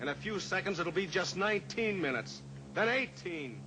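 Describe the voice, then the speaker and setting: A steely cowboy pilot hero in a vintage radio play. He speaks calmly, with a slightly raised pitch and measured taunts. Audible hiss from the old recording runs underneath. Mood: coolly taunting. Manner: calm, coolly taunting. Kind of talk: 1950s radio drama acting.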